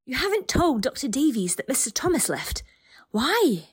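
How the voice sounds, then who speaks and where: A woman's voice: high-pitched, big pitch swings, moderate pace, breathy edge, full character voice. An actress playing a polite, curious female AI turned human in an audio drama, courteous and formal. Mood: curious, questioning.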